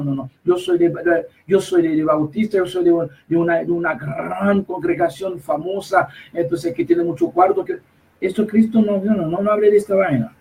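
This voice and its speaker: A man with a fairly high voice preaches insistently, repeating himself and breaking off mid-phrase. He stands some distance from the microphone, so his voice sounds set back in the space. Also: mellow. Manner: emphatic, repetitive, halting with restarts. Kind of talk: preaching commentary.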